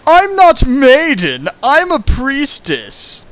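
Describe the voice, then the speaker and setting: A man's voice: very high voice, wildly swooping pitch, takes it slowly, fairly bright tone. A man reading a fan fiction aloud for laughs, breaking off into sarcastic, mock-outraged commentary. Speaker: reader riffing a fan fiction. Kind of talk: comedic dramatic reading with commentary.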